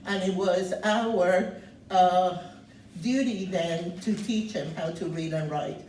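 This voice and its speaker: Loud-spoken woman in her seventies. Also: on the low side, a little hiss or hum. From Cuba. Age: 70s. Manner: speaks loud, earnest, pauses on many fillers. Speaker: guest speaker sharing her refugee story. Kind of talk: personal testimony talk. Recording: roomy, distant mic, faint ambience.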